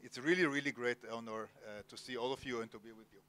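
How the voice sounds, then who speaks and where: Moderatore israeliano, uomo, formale ed esitante, registro medio. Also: male voice, medium pitch, steady tone, pushed and bright. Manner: formal, many filler pauses. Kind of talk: onstage literary interview. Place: cultural center event hall, Jerusalem.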